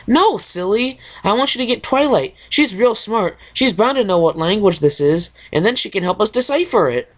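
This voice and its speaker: Bubbly hyper pony girl, squeaky and eager, fan reader voicing her. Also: animated, moderate pace, few pauses, fairly bright tone. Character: bubbly excitable female pony.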